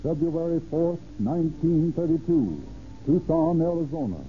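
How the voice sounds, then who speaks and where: A man narrating a 1930s radio drama in a formal, deliberate storytelling style. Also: on the high side, music in the background, natural voice. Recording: tinny, narrow-band old radio recording.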